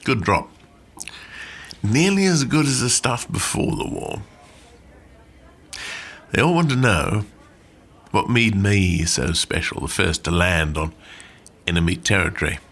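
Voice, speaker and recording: An actor in a radio play monologue as an old, plainspoken war veteran and glider pilot, reminiscing conversationally with dry understatement. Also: male voice, fairly low pitch, animated, measured pace, long pauses, fairly bright tone, exaggerated voice. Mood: modest, candid. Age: older.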